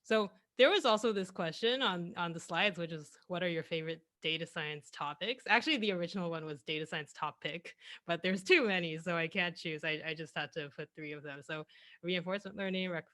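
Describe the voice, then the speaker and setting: A woman's voice: lowish voice, brisk, a bit bright, dry recording. A young woman presenting her career over a video call, chatty and self-correcting, often laughing between phrases. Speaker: guest speaker. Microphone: headset on a video call.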